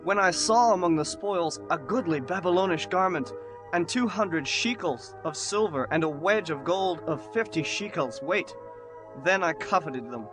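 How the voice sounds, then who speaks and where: A man's voice: on the high side, lightly acted. A man playing a guilty Israelite confessing earnestly in a dramatized scripture audiobook. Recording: music behind the voice.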